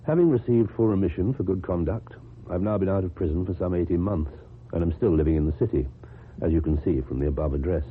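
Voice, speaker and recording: Low-voiced, reserved British ex-convict. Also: male voice, breathy edge. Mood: confiding. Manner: formal, measured, precise speech. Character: reserved, lonely, well-educated male ex-convict, kindly but wary. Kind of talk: British radio drama acting. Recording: muffled, noisy old radio recording.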